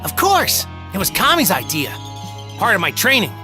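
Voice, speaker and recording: A woman's voice: medium pitch, huge pitch swings, normal speed, over music. A woman playing a cheerful, guileless young male martial arts hero in an anime game, boyish, upbeat and plain-spoken. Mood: cheerful, casual. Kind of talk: anime video game voice acting.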